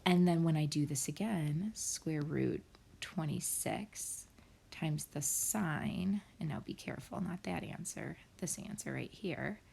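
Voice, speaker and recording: Low, gravelly woman teaching math. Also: slow pace, darkish tone, slight background noise. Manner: explains step by step, often drops to a whisper. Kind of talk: recorded screen lesson for students.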